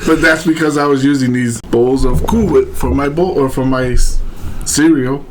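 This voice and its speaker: A man's voice: mid-range voice, moderate pace, crowd noise. A man from Samoa telling casual anecdotes as a podcast co-host, with a jovial, easily amused manner. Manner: jovial, laughs readily while talking. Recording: clear steady background noise.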